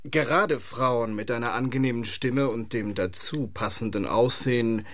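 An actor playing a guarded, shrewd recording studio operator in a radio play, businesslike and a little pompous, with a Swiss accent. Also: male voice, medium pitch, moderate pace, fairly bright tone, no echo, close to an everyday voice.

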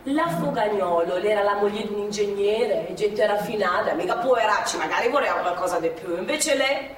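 A woman's voice: mid-range voice, lively intonation, brisk, close to an everyday voice. Actress as elderly woman, partisan host, chatty and repetitive, live theatre, distant, echoey with faint noise. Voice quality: conversational, repeats herself for effect. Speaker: actress in a stage play. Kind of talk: theatrical monologue, recorded live. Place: theatre stage. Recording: echoey theatre stage, mic far off.

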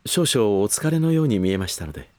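Attentive servant, lowish voice, expressive intonation, courteous, formal, voice drama. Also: male voice, fast. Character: polite, attentive male servant, calmly deferential. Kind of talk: Japanese voice drama acting.

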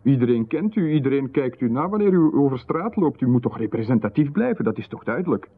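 A polite, insistent visitor who talks at length, his husky Flemish voice pitched at an everyday middle. It comes through a thin, phone-like band, like an old radio drama broadcast. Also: very animated, quick pace. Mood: smooth. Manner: polite, explaining at length with technical jargon. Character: smooth-talking male visitor, middle-aged, insistent and eerie.

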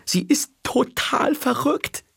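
A man voicing an insistent ten-year-old boy in a storybook reading, pitched high. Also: lively intonation, slow, creaky, fairly bright tone, slightly rough, no room sound, studio quality, heavily acted.

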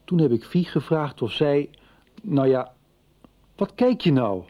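A man's voice: on the high side, animated, a little slow, lo-fi sound. A man playing a stubborn, calculating husband and businessman in a radio soap, terse and defensive. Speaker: actor in a radio serial. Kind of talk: 1990s Dutch radio soap acting.

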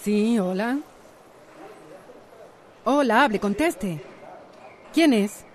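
An actress playing a bold, friendly hairdresser in a radio soap, with a Latin American Spanish accent. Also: female voice, mid-range voice, expressive, extremely slow, very noisy. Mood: anxious. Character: bold, independent adult woman hairdresser, friendly and reassuring. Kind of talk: radio soap opera acting.

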